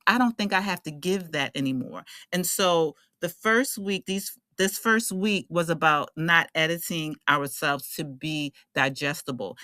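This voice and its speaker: A woman's voice: sits low, pushed and bright, no room sound, studio quality. A woman giving a casual astrology forecast as an online host, talking in an emphatic, conversational way with slang and rhetorical questions.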